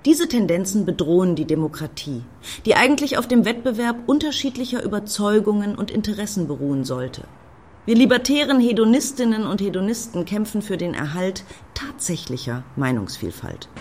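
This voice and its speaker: An actress playing a breezy, sardonic club activist in a radio play, offhand and dryly mocking. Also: female voice, mid-range voice, a little mellow. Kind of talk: German radio play acting.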